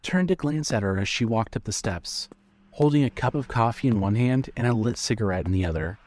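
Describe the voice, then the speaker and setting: A man's voice: medium pitch, expressive, a little fast, darkish tone. A man giving scripted narration of a fiction story.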